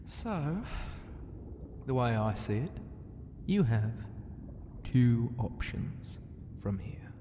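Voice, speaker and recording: A man voicing a flirty, mighty ocean god in an ASMR roleplay, teasing and grandiose, with a breathy voice that often slips into whispers. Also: mid-range voice, big pitch swings, drawn-out words, pauses often, mellow. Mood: coaxing, flirty. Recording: noisy ambience with long reverb.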